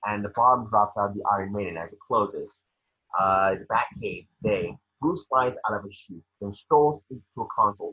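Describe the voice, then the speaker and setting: A very husky man narrates screenplay action with a vivid storytelling delivery. His tone is sharp and forceful. The recording sounds a bit dull and lo-fi. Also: on the low side. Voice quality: husky, raspy. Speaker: scene description reader. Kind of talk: narrating a screenplay table read. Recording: dull lo-fi call audio.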